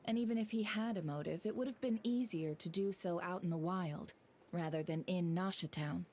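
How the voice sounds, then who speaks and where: A woman voicing a composed, analytical female investigator in a video game, speaking in a measured, deliberate, formal way. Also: lowish voice, background noise, natural voice. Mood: calm, analytical.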